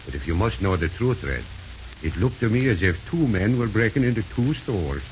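Casting: low Irish male as a folksy, hot-headed frontier father; old radio drama with clear hiss. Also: big pitch swings, moderate pace. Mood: genial, evasive. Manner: folksy, lilting Irish idiom. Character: hard-drinking, hot-tempered, honest Irish father in the Yukon. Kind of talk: 1930s radio acting. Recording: hissy, muffled 1930s radio recording.

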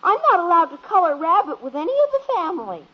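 A woman's voice: extremely high pitch, sing-song, fast talker. A woman voicing an eager young girl in a 1950s radio sitcom, prim and earnest. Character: bright eager little girl, proud of her secret. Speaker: daughter. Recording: dull old radio broadcast.

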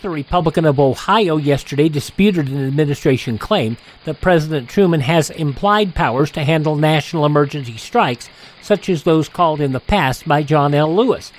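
A man with an American accent reading scripted news and programme announcements as a radio host, in measured phrases. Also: on the high side, slight background noise, rough recording.

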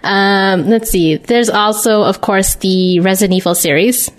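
A woman's voice: medium pitch, measured pace. A woman co-hosting a podcast, chatting casually and giggling often as she tells her stories. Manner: giggly, animated storytelling. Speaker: podcast co-host. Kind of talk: casual chat.

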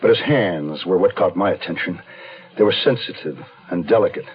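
A man's voice: low-pitched, moderate pace, natural voice. An actor playing a wounded American man on the run, telling his crime story in terse first-person radio drama narration. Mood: grim, tense. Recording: dull 1970s radio recording.

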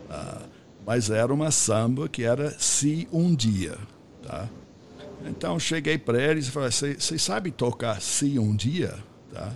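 A man's voice: mid-range voice, vocal fry, a little hiss or hum. Older man hosting, rambling chat. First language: English. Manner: rambling, checks in with "tá?". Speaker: podcast host. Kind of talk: casual interview chat.

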